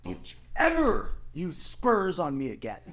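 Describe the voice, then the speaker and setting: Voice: medium pitch, huge pitch swings, measured pace, a bit bright, slight background noise. An actor playing a proud, hot-tempered dragon shapeshifter suitor in a screenplay table read, blunt and insistent. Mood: indignant.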